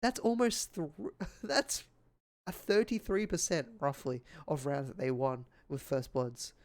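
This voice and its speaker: A man's voice: fairly high pitch, steady tone, a little slow. A man doing live play-by-play esports commentary, earnest and appreciative, his voice a little strained and tiring. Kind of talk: live game commentary and sign-off.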